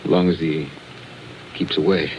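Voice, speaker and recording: A man's voice: very deep voice, fairly even intonation, normal speed. An actor playing a dry, watchful male confidant in understated vintage radio drama style. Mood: dry, guarded. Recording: muffled, noisy old radio drama recording.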